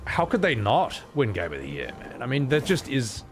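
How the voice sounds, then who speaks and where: Animated, sweary male game streamer. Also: mid-range voice, normal speed. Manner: sweary, blokey. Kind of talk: casual chat while playing a video game. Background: game music.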